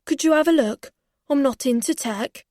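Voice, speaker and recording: A woman's voice: sits high, moderate pace, a little mellow, no room sound, clean studio sound, voice pushed up, natural voice. A woman voicing a sly, pushy young male barman who talks casually and slangily. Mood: friendly.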